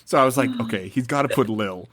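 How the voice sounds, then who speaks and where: A man's voice: mid-range voice, a bit bright. A young man co-hosting a podcast, chatting casually and joking, laughing often. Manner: jokey, laughs often, quick asides. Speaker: podcast co-host. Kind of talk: casual chat.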